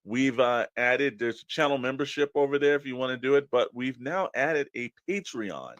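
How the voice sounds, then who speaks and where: A man co-hosting a podcast, chatting casually and pitching straight to the listeners in a conversational way. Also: lively intonation, moderate pace, a bit bright, no echo, lo-fi sound. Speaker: podcast co-host. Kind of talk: casual promotional podcast chat.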